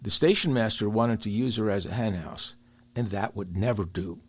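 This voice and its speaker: An actor playing a polite, anxious little male tram engine in an audio storybook, with a husky, deferential voice. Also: lowish voice, moderate pace, no room sound. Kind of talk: audio storybook character acting.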